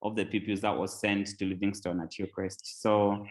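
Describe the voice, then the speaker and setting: A man from Zambia, a tech company founder, talking casually as a podcast guest, relaxed and rambling with many fillers. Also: fairly low pitch, normal speed.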